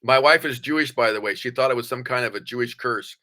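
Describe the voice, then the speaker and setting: Older male guest, blunt and plain-spoken, with a bright, punchy tone. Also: mid-range voice, fast. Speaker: podcast guest. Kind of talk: conversational interview.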